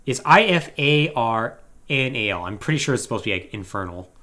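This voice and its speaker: Man, podcast host, lively varied intonation, casual story recap, conversational, wry asides. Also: medium pitch, a little fast. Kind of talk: casual plot recap commentary on a video game podcast.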